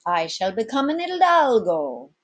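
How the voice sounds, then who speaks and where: A woman's voice: on the high side, big pitch swings, unhurried, pitched above the performer's own voice. A woman reading aloud as a grandiloquent male pirate captain, commanding his crew in florid, oratorical speech. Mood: proud, inviting.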